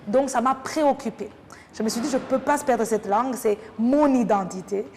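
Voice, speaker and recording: Rwandan french accent woman, kinda high voice, thoughtful interview chat, big echoey reverb. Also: normal speed, a little mellow. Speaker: interview guest, French language teacher. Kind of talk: conversational interview answers. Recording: noisy, echoing hall sound with long reverb.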